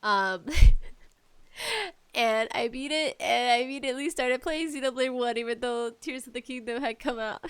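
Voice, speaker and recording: A woman hosting a casual, rambling solo podcast chat, enthusiastic and animated in her emphasis. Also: high-pitched. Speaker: podcast host talking about video games.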